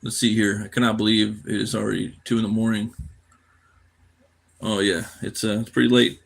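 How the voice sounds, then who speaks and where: A man's voice: on the low side, flat delivery, normal speed, gravelly. A tired man chatting casually on a livestream while he draws, laid-back and slangy, half muttering to himself. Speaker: cartoonist live streamer.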